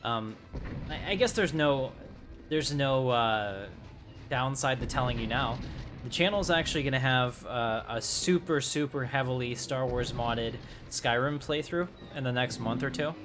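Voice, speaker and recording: A man's voice: mid-range voice, unhurried. A man giving casual, rambling live commentary as he streams a game, talking conversationally to his viewers. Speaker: game streamer, channel host. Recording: loud music and game sound behind. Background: loud game music and effects.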